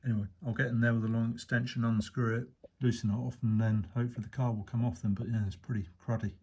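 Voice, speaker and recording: A man, casually explaining a motorcycle repair as he works, in a relaxed, rambling way with plenty of fillers. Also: medium pitch, fast talker, breathy edge. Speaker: motorcycle restorer, channel host. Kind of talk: casual workshop talk-through. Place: workshop or shed.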